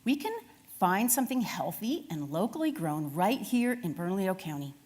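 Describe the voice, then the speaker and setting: A woman's voice: mid-range voice, very animated, moderate pace, a little mellow. A woman voicing an eager, hungry roadrunner in a children's storybook, enthusiastic and urging, in a reverberant room.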